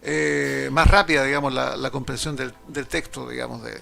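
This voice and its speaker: A man's voice: medium pitch, steady tone, moderate pace, gravelly, a crowd in the background. A man hosting with a Chilean accent, asking chatty, rambling questions in a studio. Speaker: show host. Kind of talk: interview questions, casual. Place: broadcast studio.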